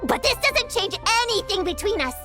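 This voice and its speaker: A very high, animated little girl, a proud and feisty witch hunter from a video game. She blusters indignantly over clear background music. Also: a little fast. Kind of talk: video game cutscene acting. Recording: music behind the voice.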